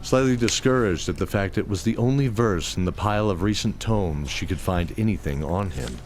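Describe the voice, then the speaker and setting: A man's voice: sits low, darkish tone, slight background noise. A man narrating a scripted fantasy audio drama as its storyteller. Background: prominent background music.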